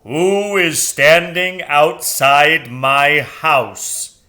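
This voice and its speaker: A man voicing a grave, imperious owl in a storybook, with a deep, round, sonorous voice and formal, deliberate speech. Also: sharp tone, heavily acted. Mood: questioning, imperious.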